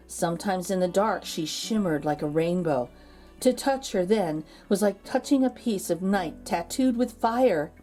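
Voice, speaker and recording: A woman narrating a children's storybook aloud as a read-aloud host, expressive and drawing out key words. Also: fairly low pitch.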